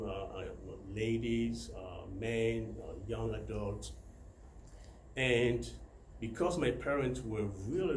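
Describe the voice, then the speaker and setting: A middle-aged man from the Democratic Republic of Congo tells his life story to a club audience, in a somewhat low voice and at an unhurried, deliberate pace. He pauses often and fills the gaps with small hesitation sounds. The recording is roomy and echoey, with faint noise behind him. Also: a little mellow. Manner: hesitant, many filler sounds. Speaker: club member giving a talk. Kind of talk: prepared personal talk to a club.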